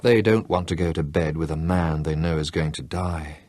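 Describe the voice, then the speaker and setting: A man's voice: rumbling low, normal speed, a little mellow, no echo, pitched below the performer's own voice. A man reading a frightened woman confiding. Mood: fearful. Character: frightened young woman at a brothel.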